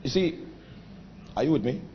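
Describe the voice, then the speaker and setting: A man's voice: animated, muffled recording, a little hiss or hum. A Nigerian man preaching a sermon, emphatic, drawing out key phrases and rising suddenly. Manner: emphatic preaching with sudden pitch and volume swings, drawn-out phrases. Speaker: pastor.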